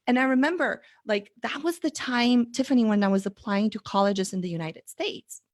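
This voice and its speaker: A woman's voice: a little high, normal speed, dry recording, studio quality. A woman, telling an earnest story as an interview guest over a video call, with many fillers. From Bulgaria. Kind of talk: casual interview chat.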